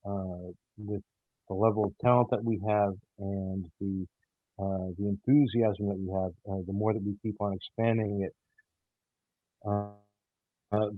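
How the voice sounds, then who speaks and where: Man, low voice, halting delivery, earnest, conversational interview guest, close dry sound. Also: dry recording, phone-quality sound. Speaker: audio theater show creator, interview guest. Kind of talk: casual interview talk.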